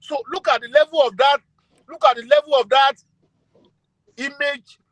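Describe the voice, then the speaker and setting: High-pitched, halting Ekiti-accented older man. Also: steady tone, fairly bright tone, no room sound, low-quality recording. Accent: Nigerian, Ekiti. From Nigeria. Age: 60s. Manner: halting, repeats words, stumbles over phrases. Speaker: call-in speaker. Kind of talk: impassioned online forum talk.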